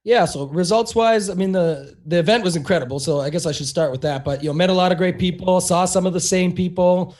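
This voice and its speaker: A man co-hosting a casual sports podcast in a high voice, pushing his words out quickly. He sounds a little hoarse, as if losing his voice, and strings his sentences together with many "you know"s. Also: steady tone, darkish tone. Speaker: podcast co-host.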